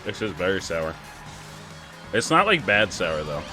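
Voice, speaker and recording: Giggly, excitable young man streaming. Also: low-pitched, unhurried, music in the background. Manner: excitable, giggly, chats with viewers. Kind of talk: casual gaming commentary while racing.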